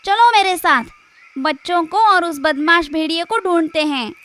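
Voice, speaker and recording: A man voicing a loving, fiercely protective mother goat in a children's cartoon story, with shrill, emphatic motherly delivery. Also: a little slow, sharp tone, full character voice. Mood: indignant.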